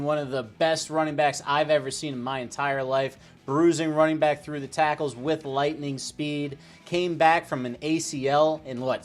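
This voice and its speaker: A man hosting a panel show, talking sports in a casual debate style. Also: fairly high pitch.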